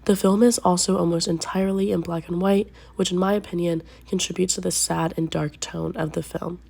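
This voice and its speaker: A young woman presents a scripted film review for a class. Her voice sits low and sounds soft and mellow. She slows down with care whenever she reaches a name. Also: fairly even intonation, creaky, husky. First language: English. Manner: careful, slowed delivery on names. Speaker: student presenter.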